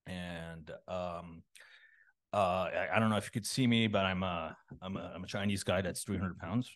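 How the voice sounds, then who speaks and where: A man from a Los Angeles suburb, likely in his thirties, talking as a podcast guest in a halting, rambling way full of fillers and restarts. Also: low voice, fairly even intonation, a bit bright. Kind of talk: casual interview talk.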